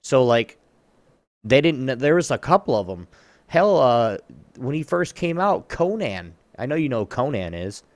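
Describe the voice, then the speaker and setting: A man talks casually on a podcast in a very dry recording. His voice is of average pitch, and he rambles along bluntly in loose, slangy language. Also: big pitch swings, moderate pace, no echo. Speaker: podcast co-host. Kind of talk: casual chat. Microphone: online voice chat mic.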